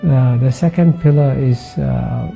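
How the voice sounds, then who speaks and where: A man in his seventies from Singapore with a Singaporean English accent, a former dean giving a measured, reflective talk with frequent "uh" pauses and soft laughs. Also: mid-range voice, vocal fry, muffled recording, faint music behind. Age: 70s. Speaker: former dean of a public policy school.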